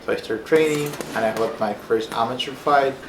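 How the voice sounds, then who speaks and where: A young man from Peru tells his story in a loose, rambling way, full of fillers. His voice sits at an ordinary pitch. The room is echoey, with a faint hum underneath. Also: moderate pace. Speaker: personal trainer and former fighter. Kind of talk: casual personal story to camera.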